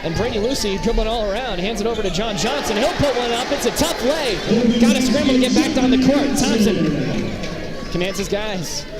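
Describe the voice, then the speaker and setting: A man's voice: high voice, expressive, moderate pace, over loud music. A man doing live play-by-play basketball commentary in clipped, fragmentary calls. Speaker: play-by-play announcer. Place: indoor basketball gym, up behind a balcony. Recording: loud gym crowd and court noise. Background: crowd, bouncing basketballs.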